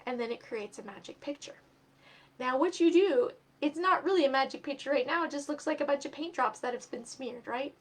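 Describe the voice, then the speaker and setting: A woman hosting a children's storytime, expressive and speaking directly to young listeners as she reads and explains step by step. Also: sits high, brisk. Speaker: storytime host and reader for children. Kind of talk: animated storybook reading and craft instruction for children.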